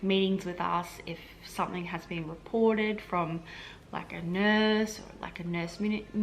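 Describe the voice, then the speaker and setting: A woman's voice: mid-range voice, a little hiss or hum, low-quality recording. An Australian woman, a hospital admin officer, chatting casually to camera in a rambling way with lots of fillers and restarts. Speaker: health administration officer and vlogger. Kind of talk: casual vlog explaining to camera.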